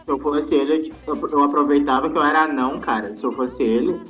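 A man's voice: a little high, fairly even intonation, fairly quick, a bit bright, faint music behind, poor audio. A Brazilian man chatting casually and in slang as a podcast co-host. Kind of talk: casual chat.

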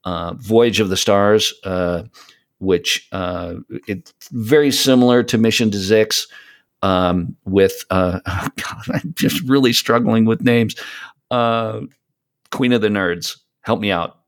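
A man's voice: lowish voice, slow. A man in casual podcast chat, giving recommendations in a rambling way as he searches for his words. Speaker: podcast guest.

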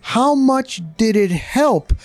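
A middle-aged man interviewing conversationally, with halting, emphatic phrasing. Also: very high-pitched, animated, a little mellow, no room sound. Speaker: interviewer, sports broadcaster.

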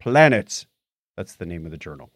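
A man's voice: sits low, normal speed, dry recording, studio quality. A man co-hosting a podcast in a casual, jokey style, bantering and reading items aloud. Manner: jokey, animated. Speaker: podcast co-host.

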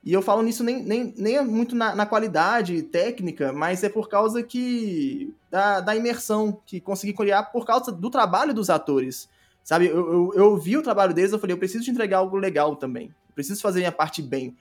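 A man's voice: high voice, expressive, a little fast, faint music behind. A Brazilian man talking casually as a podcast guest about his creative work, enthusiastic and often repeating phrases as he explains.

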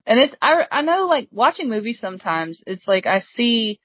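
A woman's voice: fairly high pitch, very animated, moderate pace, husky, no echo. A woman in her thirties, an author guest on a podcast, chatting casually and laughing often. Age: 30s. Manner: friendly, laughs often, frequent agreeing. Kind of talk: casual interview chat. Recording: dry, slightly dull sound.

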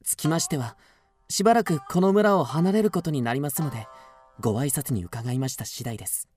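A young samurai, acted by a man in a period radio drama, honorable and gentle. He speaks in formal, old-fashioned courtesies with steady resolve, over faint music. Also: a little high, a little fast, lightly acted. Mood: formal, respectful. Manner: formal, archaic, courteous speech.

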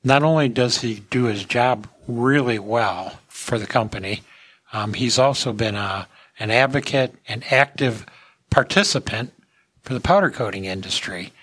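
Husky man hosting a podcast. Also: medium pitch, normal speed, a bit bright, dry recording. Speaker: podcast host. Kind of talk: casual industry podcast talk.

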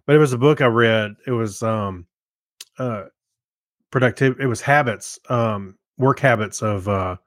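A man's voice: medium pitch, unhurried, fairly bright tone, no echo, studio quality. Hesitant, rambling male podcast co-host. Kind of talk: casual chat.

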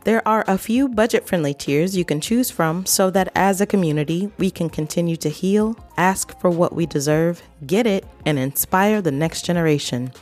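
Soft-spoken woman podcast host. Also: fairly low pitch, moderate pace, hardly any pauses, soft background music. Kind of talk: podcast interview.

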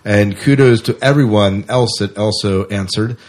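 A man's voice: lowish voice, moderate pace, darkish tone. A man co-hosting a podcast in casual, rambling chat, often repeating his phrases. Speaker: podcast co-host.

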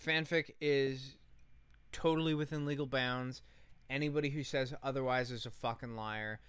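A man's voice: medium pitch, fairly even intonation, normal speed, fairly bright tone, smooth, no echo. A man co-hosting a podcast, telling a story in casual commentary with an emphatic, sarcastic manner and casual swearing. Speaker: podcast co-host.

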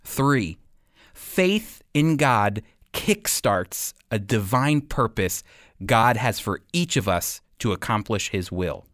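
A man teaching and commenting as a radio preacher and host, speaking emphatically and deliberately with weighty pauses. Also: on the low side, sing-song, fairly bright tone, dry recording, studio quality.

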